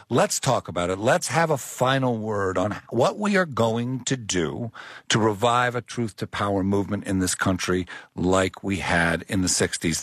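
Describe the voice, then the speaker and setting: A man's voice: fairly low pitch, fairly bright tone, dry recording. A man hosting a talk radio show from a studio, speaking earnestly and conversationally with some fillers.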